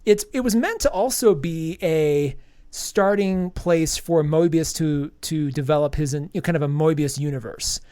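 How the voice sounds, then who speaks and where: A man with a fairly high voice talks through a comic review on a podcast, his nose stuffy from allergies. He rambles, restarting sentences and filling gaps with ums. The sound is dry and close. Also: normal speed, mellow, smooth, no echo. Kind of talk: casual review chat.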